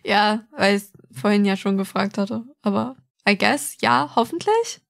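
A woman's voice: mid-range voice, a little slow, gravelly, no room sound. A woman co-hosting a podcast, chatting casually in short remarks with bits of English slang. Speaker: podcast co-host. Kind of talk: casual chat.

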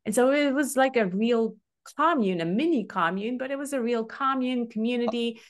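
A woman's voice: fairly high pitch, normal speed. A middle-aged woman from Switzerland, a guest telling her story in a casual conversation on a video call, from a room at home. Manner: chatty, open storytelling with frequent fillers. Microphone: webcam on a video call.

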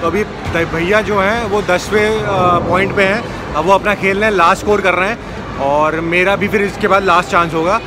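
A man in his twenties giving a chatty, excited play-by-play on a handheld phone camera inside a busy bowling alley. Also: sits high, moderate pace. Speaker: vlogger. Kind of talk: casual vlog chat. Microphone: phone camera held at arm's length. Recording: loud crowd and alley noise.

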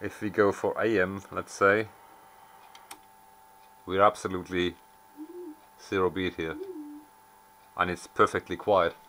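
Clear background noise sits under a man's very low voice, caught on a camera mic in a small workshop. He gives an unscripted technical walkthrough as a repairer. His manner is matter-of-fact, working things out aloud as he goes. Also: little pitch movement, measured pace, long pauses, sharp tone. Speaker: amateur radio repairer presenting. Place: small indoor workshop at an electronics bench.

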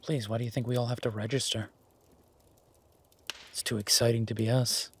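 A man playing a tender, teasing, easygoing werewolf boyfriend in an intimate romantic audio roleplay, murmuring softly with a husky voice. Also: mid-range voice, flat delivery, normal speed, a little hiss or hum, close to an everyday voice. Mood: playful, affectionate.

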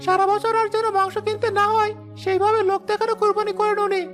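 An outspoken poor villager in a cartoon, voiced by a woman. The voice is extremely high and rattles along quickly with little melody, over faint music. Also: level tone. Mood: indignant. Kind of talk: Bengali moral cartoon dialogue.

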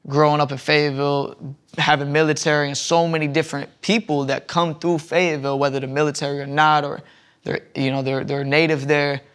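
A man's voice: a little high, little pitch movement, brisk, fairly bright tone, clean tone. A young man guesting on a podcast, telling rambling stories in casual chat with many restarts, on a lapel mic in a studio lounge. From Southern United States. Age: young adult.

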